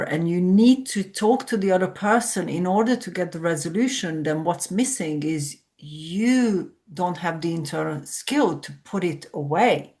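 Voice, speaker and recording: Woman coaching calmly. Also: fairly low pitch. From Hungary. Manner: explanatory, coaching, reflective. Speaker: sleep coach, guest. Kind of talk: podcast conversation.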